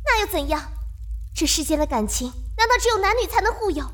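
A woman's voice: very high voice, lively intonation, fast, fairly bright tone. A woman playing a bitter, defiant young female impostor in an amateur Chinese costume audio drama, speaking in an impassioned, challenging way.

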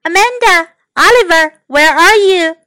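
A woman's voice: sits high, animated, a little slow, fairly bright tone, no echo, clean studio sound. A woman voicing a firm but good-humored mother in a children's storybook. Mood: concerned, firm. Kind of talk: children's storybook character reading.

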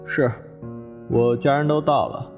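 A man's voice: medium pitch, steady tone, measured pace, dull audio, music in the background, lightly acted. An actor playing a courteous, solemn middle-aged man receiving guests in a radio drama. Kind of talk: Chinese radio drama acting.

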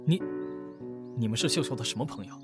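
A man acting an earnest elder brother, animated over loud music. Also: medium pitch, fast talker, lightly acted. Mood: guarded, defensive. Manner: pleading, stammering when flustered. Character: earnest, desperate protective elder brother hiding his true nature. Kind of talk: Chinese audio drama acting.

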